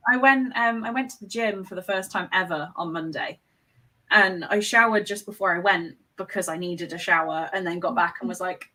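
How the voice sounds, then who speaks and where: A woman's voice: on the low side, moderate pace, pushed and bright, low-quality recording. A young English woman hosting a reading livestream, chatting casually with guests and laughing often as she rambles. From England. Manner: giggly, rambling, self-deprecating. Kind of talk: casual chat on a video call.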